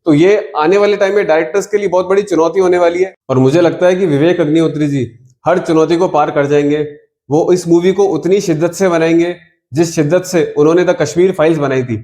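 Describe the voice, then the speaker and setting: High-pitched man, echoey room. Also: dark tone. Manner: emphatic, mixes in English words. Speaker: video commentator. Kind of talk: opinionated film news commentary to viewers. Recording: roomy, echoing sound.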